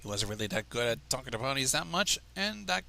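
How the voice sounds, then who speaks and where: A man's voice: mid-range voice, big pitch swings, fast talker, vocal fry, sharp tone. A man reading fan fiction aloud on a livestream in a casual way, stumbling over lines and dropping into asides.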